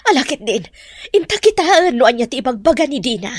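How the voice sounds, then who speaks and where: A woman's voice: high-pitched, very animated, fairly quick, no echo, studio quality. A woman playing a concerned, firm middle-aged mother in an Ilocano melodrama, with an Ilocano accent and music behind her. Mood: urging. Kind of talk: Ilocano radio-style melodrama acting.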